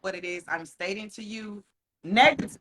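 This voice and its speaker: Frank, profane podcast co-host with a bright voice. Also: male voice, sits high, a little hiss or hum, low-quality recording. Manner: frank and profane, laughs often, slows down to stress points. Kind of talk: casual podcast chat.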